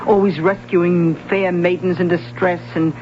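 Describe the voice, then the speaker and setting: A streetwise barkeep who needles a cop with sly jokes, his voice pitched high for a man. It comes through a dull, lo-fi old radio broadcast with music underneath. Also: flat delivery, unhurried. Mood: teasing. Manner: teasing, jokey, streetwise. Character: sly wisecracking male bartender Mums. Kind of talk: 1970s radio drama acting.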